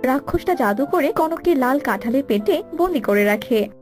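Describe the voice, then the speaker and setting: High-pitched woman narrating a children's story. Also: animated, moderate pace, darkish tone, faint music behind.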